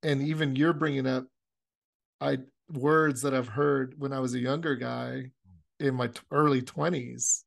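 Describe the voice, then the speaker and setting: A man in his late forties hosting a faith podcast, musing earnestly and murmuring soft agreement. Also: mid-range voice, fairly even intonation, measured pace, creaky. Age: 40s. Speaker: podcast host. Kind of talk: conversational interview.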